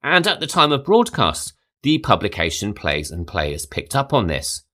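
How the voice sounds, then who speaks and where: A low-voiced man reads a prepared film history for his podcast. His delivery is measured, and his pitch rises and falls a lot, lifting in particular when he starts a quotation. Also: a bit bright. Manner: measured, reads quotes aloud. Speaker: podcast host. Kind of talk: scripted film history narration.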